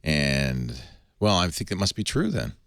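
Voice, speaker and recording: A man's voice: rumbling low, unhurried, creaky, clear, resonant tone, no echo, studio quality. A middle-aged man hosting a casual tech talk show, joking and laughing often. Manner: jokey, laughs often, animated delivery. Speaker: host.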